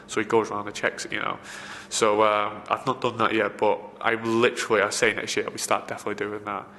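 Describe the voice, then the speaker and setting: A man's voice: lowish voice, completely flat delivery, a little fast, bright, forceful tone. A man, a film location assistant, giving rambling interview answers full of fillers and restarts. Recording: reverberant room, faint background noise.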